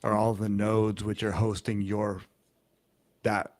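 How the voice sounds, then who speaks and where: A low-voiced man co-hosting a podcast. He talks very slowly and explains at length, stopping and restarting often. The sound is dry, with no room echo. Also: little pitch movement, darkish tone. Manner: rambling, halting explanations. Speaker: podcast co-host. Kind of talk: casual chat.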